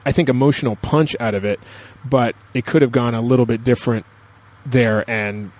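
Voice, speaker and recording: A man's voice: medium pitch, fairly even intonation, moderate pace, a little mellow. A man co-hosting a casual discussion show, talking in a rambling, enthusiastic, analytical way. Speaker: podcast host.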